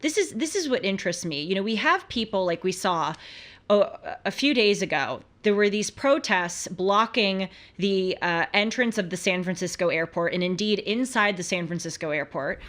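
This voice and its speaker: Female commentary host, American, earnest and emphatic, conversational delivery with rhetorical questions and a medium-pitched voice. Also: animated, normal speed, fairly bright tone. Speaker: host of a political commentary show.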